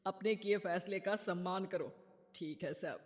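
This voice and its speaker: A calm middle-aged husband from a cartoon story comforts his wife softly, as a woman puts him on. The voice is a touch lower and sounds a little distant in a reverberant room. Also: measured pace, close to an everyday voice. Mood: soothing.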